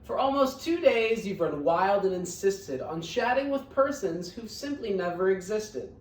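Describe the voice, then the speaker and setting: A woman as a haughty scolding kangaroo, swooping pitch. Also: lively intonation, a little slow, darkish tone, lightly acted. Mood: indignant, scolding. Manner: snapping, haughty, emphatic. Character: big bossy, indignant, scolding kangaroo. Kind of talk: storybook read-aloud. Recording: roomy echo, distant microphone.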